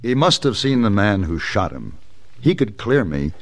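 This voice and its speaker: An actor playing a resolute, level-headed gunfighter in an old radio western, speaking crisply and articulately. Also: male voice, fairly low pitch, very animated, normal speed. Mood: earnest. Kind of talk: old-time radio western acting and narration.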